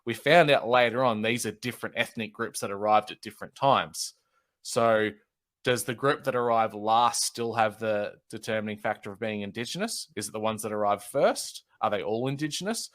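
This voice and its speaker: A man speaks with a low voice as a podcast guest. He talks in a thoughtful way and keeps raising questions as he goes. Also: moderate pace, a bit bright. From Australia. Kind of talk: casual discussion.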